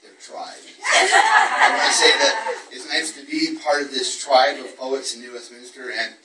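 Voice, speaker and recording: A man's voice: medium pitch, wildly swooping pitch, a little slow, bright, forceful tone, a little hiss or hum. A man speaking as a poet at a live reading, moving between informal, wordy, self-deprecating remarks and his own verse. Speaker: poet reading own work at a book launch. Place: echoey room at a live event. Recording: roomy, distant event microphone.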